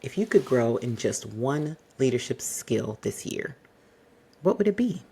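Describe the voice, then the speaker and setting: A man hosting an advice podcast, speaking to the listener in a polished, exacting and fairly monotone way. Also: medium pitch, vocal fry, husky. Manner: measured, exacting, addresses listener directly. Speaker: podcast host.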